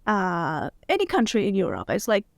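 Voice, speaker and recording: Gravelly-voiced woman lawyer guest, dry sound. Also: mid-range voice, huge pitch swings, moderate pace, no room sound. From Morocco, grew up in Spain. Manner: upbeat, candid, laughs easily. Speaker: guest, international business lawyer. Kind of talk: casual interview conversation.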